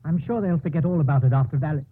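An actor playing a weary, well-meaning hospital doctor, earnest and explanatory, in 1950s radio drama style. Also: male voice, fairly high pitch, racing, breathy edge, dry recording. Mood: earnest, insistent. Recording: tinny old radio broadcast.

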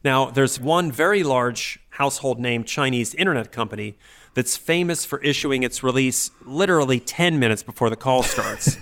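A man speaking as an expert podcast host, explaining things in an articulate, analytical and measured way. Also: medium pitch, expressive, a bit bright.

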